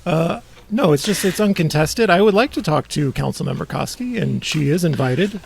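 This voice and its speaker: A man hosting a podcast in casual chat, teasing and mock-serious with dry sarcasm and a gravelly, husky voice. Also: a little high, lively intonation, normal speed, slight background noise. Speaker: podcast host. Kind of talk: casual chat about local politics.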